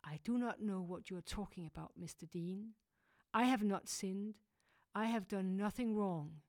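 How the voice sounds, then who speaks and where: A woman's voice: medium pitch, measured pace, no room sound, close to an everyday voice. A woman voicing a desperate mother begging for her child. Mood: pleading. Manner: pleading, urgent. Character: desperate young mother pleading.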